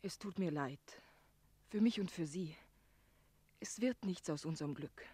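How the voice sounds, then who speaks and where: Breathy, heartfelt young maiden. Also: female voice, on the low side, unhurried, warm tone, no room sound. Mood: tender, sorrowful. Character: tender, brave young maiden in love. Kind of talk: German radio play acting.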